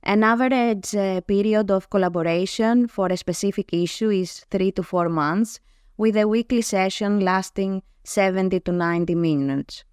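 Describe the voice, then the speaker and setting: A woman with a Greek accent, a native Greek speaker, giving measured, explanatory answers as an interview guest. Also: mid-range voice, darkish tone, smooth, no room sound, studio quality. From Greece. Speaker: guest, NLP trainer. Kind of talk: reading prepared answers in an interview.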